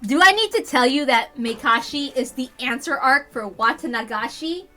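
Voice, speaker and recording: A woman's voice: sits high, expressive, normal speed, pushed and bright, soft background music. A woman voicing a brash, skeptical, teasing teenage girl in a visual novel. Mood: brash, insistent.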